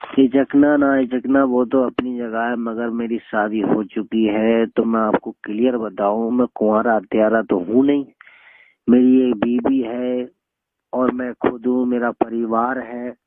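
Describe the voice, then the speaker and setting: A man's voice: medium pitch, little pitch movement, measured pace. A young man, arguing bluntly and scoldingly in a phone conversation. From northern India. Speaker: phone call recipient. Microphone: telephone. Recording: tinny phone-line call recording.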